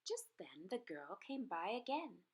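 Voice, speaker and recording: A woman narrating a children's storybook for preschoolers in an expressive, rhythmic delivery. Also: medium pitch, measured pace, breathy edge.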